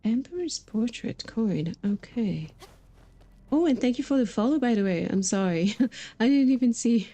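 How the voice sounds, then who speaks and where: A woman's voice: on the high side, lively intonation, moderate pace, mellow. A woman streamer talking casually and informally while she plays a video game, chatty with her viewers. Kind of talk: casual livestream chat while playing.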